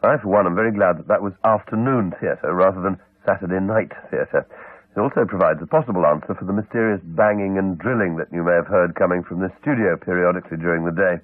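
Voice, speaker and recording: A British man making scripted radio continuity announcements in a measured, formal way. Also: sits low, no room sound. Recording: dull 1980s radio broadcast, dry, faint music.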